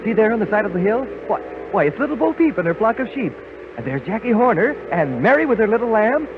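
Excited high-voiced man, tinny radio. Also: quick pace, music in the background. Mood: excited, wondering. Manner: animated, wondering. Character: excited, friendly man narrating a magic vision. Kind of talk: 1930s radio drama acting. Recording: tinny, very noisy old radio recording.

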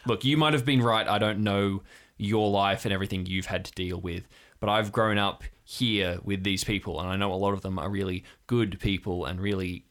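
A man playing an earnest, wary young woman from a compound in an improvised audio drama, speaking in a hesitant, deliberate way. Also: on the low side, steady tone, a bit bright, lightly acted.

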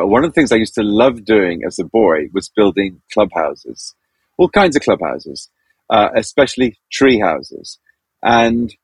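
A man's voice: fairly low pitch, breathy edge, no room sound. A man in his sixties from Zambia, a podcast guest telling a childhood story in a thoughtful, self-deprecating way. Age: 60s. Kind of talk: reflective storytelling.

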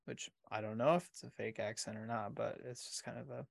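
An easygoing man chatting on a podcast, with a middling pitch. He teases his co-host and giggles easily, and his voice is close and dry, with no room sound around it. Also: fairly even intonation, brisk, gravelly, no room sound. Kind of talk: casual podcast chat.

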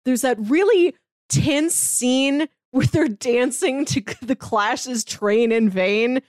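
A woman with a fairly high voice recaps a story in casual podcast chat. She talks animatedly, acts out quotes in little voices and throws in quick asides. The sound is dry and close, with no room echo. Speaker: podcast co-host.

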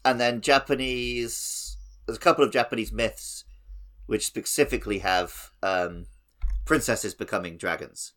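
A man's voice: lowish voice, measured pace, fairly bright tone. A man co-hosting a podcast, explaining things in a casual, rambling way. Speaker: podcast co-host. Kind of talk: casual podcast discussion explaining mythology and games.

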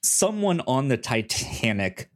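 A man co-hosting a casual podcast discussion, speaking haltingly and often repeating syllables and words in a stutter-like way. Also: mid-range voice, big pitch swings. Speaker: podcast co-host.

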